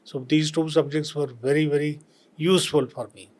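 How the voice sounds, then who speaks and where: An older man from Punjab with an Indian accent, a retired civil servant answering interview questions slowly and reflectively, with many pauses and fillers. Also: a little high, fairly even intonation, darkish tone.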